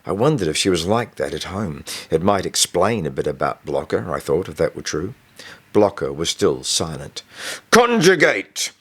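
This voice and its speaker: A man with a deep, low voice narrates a novel in the first person. He reads as an author telling a scripted story, in audiobook style. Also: normal speed, slightly rough. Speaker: author reading own novel.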